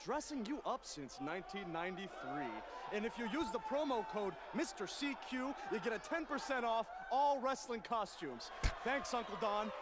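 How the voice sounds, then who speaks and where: An actor playing a goofy, gleeful amateur wrestler in a video game, exuberantly plugging away. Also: male voice, high-pitched, lively intonation, moderate pace. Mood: proud, cheery. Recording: noisy, commentary over game audio.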